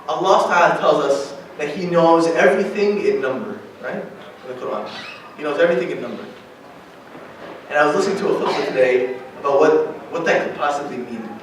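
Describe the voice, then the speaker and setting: A high-voiced man gives a relaxed religious lecture, checking in with his listeners as he goes. He sits well back from the microphone. His voice rings through a very reverberant hall, with a faint hiss of noise underneath. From United States. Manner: conversational, often checks in with a questioning "right?". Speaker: guest lecturer at an Islamic center. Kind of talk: informal religious talk to young adults. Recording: very echoey hall, distant mic.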